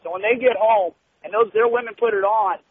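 A man's voice: high voice, brisk. A man calling in to a radio show, joking crudely and eagerly agreeing with the host. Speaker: caller. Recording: tinny phone line with faint noise.

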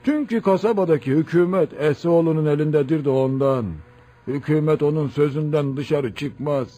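A man's voice: on the high side, moderate pace, mellow, natural voice. An actor playing a weary, protective old village elder in his seventies, in a radio drama with an exclamatory, paternal delivery. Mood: paternal, discouraging. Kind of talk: radio theatre acting.

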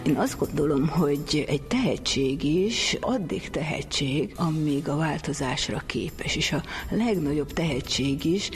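A man's voice: fairly high pitch, lively intonation, few pauses, over music. A man giving reflective remarks in an interview.

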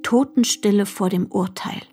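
A woman's voice: mid-range voice, normal speed, close to an everyday voice. An actress playing a young woman who re-enacts a girlhood in radio drama, precise and observant. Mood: observant, tense. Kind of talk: literary radio drama narration, present tense. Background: music.

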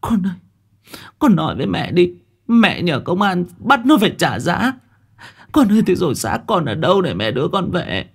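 A man's voice: high voice, very animated, moderate pace, vocal fry, slightly rough, pitched above the performer's own voice, exaggerated voice. A man voicing a grieving middle-aged mother who pleads through sobs in a quavering voice. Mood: sobbing. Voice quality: quavering, sobbing.

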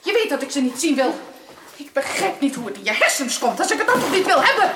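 A high, swooping voice: an imperious, irritable mistress of the house in a period radio drama. She scolds like someone used to being obeyed, over a very noisy, echoing recording. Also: sing-song, fast talker. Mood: furious, scolding. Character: irritable, domineering married sister, mistress of the house.

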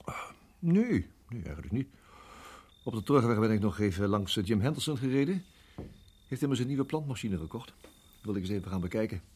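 A man acting in a radio play as a protective husband, speaking in a halting, urgent hush. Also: lowish voice, animated, breathy edge. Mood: calm, casual. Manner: hushed, halting, urgent whispering. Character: tense, protective husband guiding his endangered wife.

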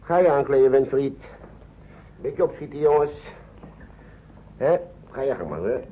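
An actor playing a worried, kindly uncle who hesitates and repeats himself in an old radio play. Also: male voice, mid-range voice, expressive, unhurried, close to an everyday voice. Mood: cheerful, fatherly. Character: worried kindly man, an uncle minding children. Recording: dull noisy old radio recording.

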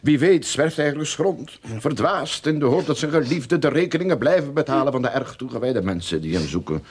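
A man playing a glib, money-minded private detective boss in a radio play, smooth-talking and mock-humble. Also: mid-range voice, lively intonation, a little fast. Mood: soothing, unctuous.

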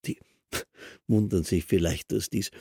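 An actor playing a long-winded, drowsy castle secretary in a German radio play, thoughtful and pedantic, with a husky, gravelly voice. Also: male voice, sits low, steady tone, unhurried, dark tone, dry recording, clean studio sound, exaggerated voice. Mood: musing, explaining.

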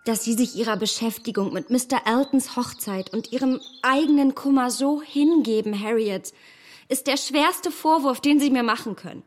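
An actress in a radio play as a self-possessed young gentlewoman, measured and gently reproving. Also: fairly high pitch, lightly acted. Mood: earnest reproach. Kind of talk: radio drama acting.

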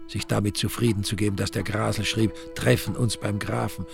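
A man's voice: on the low side, level tone, normal speed. A man playing the dry, ironic narrator of a radio crime drama. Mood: wry, detached. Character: wry storyteller narrator of a crime novel. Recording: music clearly behind.

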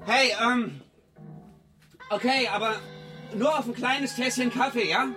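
An actor playing a nervous, evasive debtor in a radio crime drama, rambling hesitantly through placating excuses. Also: male voice, extremely high pitch, a little slow, bright, forceful tone, heavily acted. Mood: falsely cheerful. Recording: music clearly behind the voice.

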